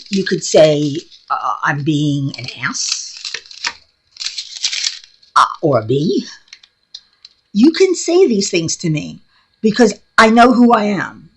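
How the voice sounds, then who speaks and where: A woman's voice: fairly low pitch, a little hiss or hum. A middle-aged woman from New York with a New York accent, chatting casually and bluntly in a craft vlog, laughing often. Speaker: craft vlogger. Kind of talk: casual rambling chat. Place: home craft room.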